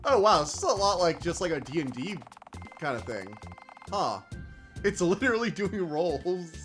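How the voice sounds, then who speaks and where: Excitable male streamer with a slightly whiny, higher-pitched voice, reading game text and joking, faint game music behind. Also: normal speed. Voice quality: self-described whiny voice. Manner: excitable, laughs often, jumps between reading and chatting. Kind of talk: casual let's-play commentary, reading game text aloud.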